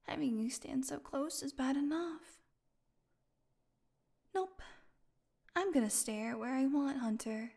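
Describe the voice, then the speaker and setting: A woman playing a starved, flirty, sardonic captive vampire in an intimate audio roleplay, teasing and slipping into breathy whispers. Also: fairly high pitch, darkish tone. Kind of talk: audio roleplay acting, intimate one-sided dialogue.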